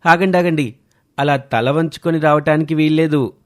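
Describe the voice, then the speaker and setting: A man's voice: fairly high pitch, normal speed, natural voice. A man voicing a playful young brother-in-law in a cartoon family drama, with an emphatic, teasing delivery.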